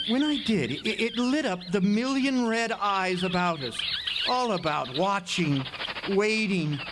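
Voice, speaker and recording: An actor playing a haunted, nervous lighthouse keeper in an old-time radio drama monologue, halting and repeating words between dramatic pauses. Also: male voice, a little high, noisy background, lightly acted. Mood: haunted dread. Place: live amphitheater stage performance.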